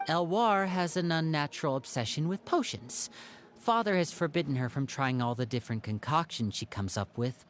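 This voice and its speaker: A composed young female agent in a video game. Her voice is low and expressive, moving through a lot of pitch as she calmly explains. Music sits behind her. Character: composed young woman, calm intelligence agent.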